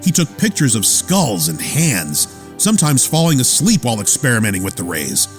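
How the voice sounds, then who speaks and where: A loyal lab assistant narrating a radio play. He tells it plainly and earnestly, with lively swings of pitch over soft music. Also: moderate pace. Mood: concerned. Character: loyal, watchful male lab assistant recounting his boss's life.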